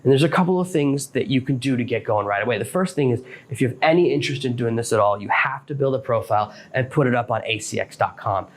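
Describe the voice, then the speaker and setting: Male podcast host, medium pitch, plainspoken and explanatory, instructional delivery with a faintly noisy background. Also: quick pace, hardly any pauses. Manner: plainspoken, explanatory, addresses listener directly. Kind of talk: instructional solo podcast talk.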